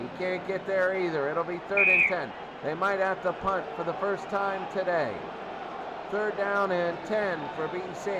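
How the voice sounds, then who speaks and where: A man calling American football play-by-play in short, repeated, excitable phrases. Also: sits high. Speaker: play-by-play announcer. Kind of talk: excitable American football radio-style commentary. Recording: loud crowd roar, heavy background noise.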